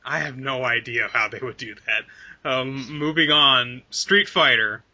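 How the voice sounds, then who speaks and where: A man's voice: medium pitch, measured pace, pushed and bright. A man on a casual gaming podcast, making dry jokes and moving the talk from topic to topic. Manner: dry joking, moves the topics along. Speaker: podcast co-host. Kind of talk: casual chat.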